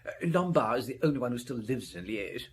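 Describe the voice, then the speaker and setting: A man's voice: medium pitch, sing-song, brisk, slightly rough. An actor playing a genial, over-friendly businessman in a radio drama, stammering and nervously eager to please. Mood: jovial, insistent. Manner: effusive, stammering, chuckling.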